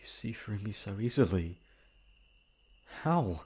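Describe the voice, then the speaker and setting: Man acting a deep-voiced, remorseful young prince. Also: sing-song, darkish tone, breathy edge, exaggerated voice. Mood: ashamed, self-loathing. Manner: formal, halting, stammering. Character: remorseful young prince, formal, self-loathing, tearful. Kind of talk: dramatic ASMR roleplay monologue.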